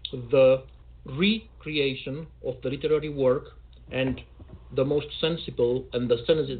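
A man hosting a poetry show over a video call, speaking in a measured, formal way with dry self-mockery. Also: mid-range voice. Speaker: host. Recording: tinny call audio.

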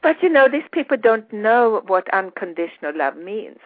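A woman's voice: medium pitch, big pitch swings, normal speed, no room sound. Swedish-accented woman host on thin phone-like audio. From Sweden. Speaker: radio host interviewing a guest. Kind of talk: reflective spiritual interview talk.

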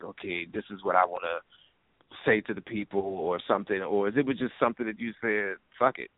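A man's voice: lowish voice, no echo, narrow, tinny audio. A man hosting an interview in an informal, conversational way, putting questions to a guest. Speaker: radio interviewer, host.

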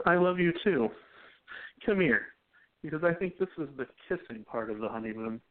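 Man acting an ardent, lively-pitched 1920s sweetheart. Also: moderate pace, natural voice. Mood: tender. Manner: emphatic, romantic. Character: devoted, ardent young 1920s newspaperman in love. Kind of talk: radio play acting. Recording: tinny phone-like line.

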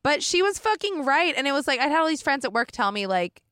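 A young woman hosting a casual podcast interview, candid and quick to laugh, swearing freely. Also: sits high, very animated, fairly bright tone, no echo, clean studio sound. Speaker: podcast host. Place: comedy shop at a party.

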